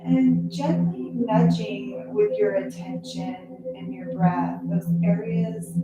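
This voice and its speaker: A woman guiding a meditation as a yoga nidra instructor, speaking gently and leaving unhurried pauses between phrases. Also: fairly high pitch, noisy background. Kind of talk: guided meditation. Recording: echoey, distant sound with loud music. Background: loud music.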